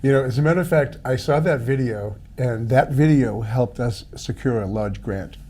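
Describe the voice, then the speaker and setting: A middle-aged man hosting an interview, friendly, often pausing and repeating words as he talks. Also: mid-range voice, dark tone, breathy edge. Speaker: interview host, home buyer class teacher. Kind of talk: interview conversation.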